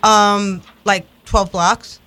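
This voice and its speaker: A woman's voice: medium pitch, flat delivery, drawn-out words, background noise. A woman from the Bronx, a radio co-host, telling stories in brash casual chat, swearing, stammering and laughing. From the Bronx, New York City.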